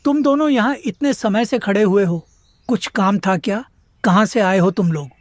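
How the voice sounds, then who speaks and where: A man's voice: high-pitched, moderate pace, no echo, lightly acted. An actor as a sorrowful elderly village man. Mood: questioning. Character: kindly elderly neighbour man, sorrowful and sympathetic. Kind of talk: dramatised moral story dialogue.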